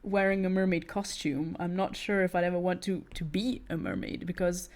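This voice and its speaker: A woman's voice: on the low side, steady tone, normal speed, darkish tone. A woman with a British accent, born in Italy, chatting casually with her stream while she draws, giggling often and restarting her sentences. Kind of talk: casual chat while drawing.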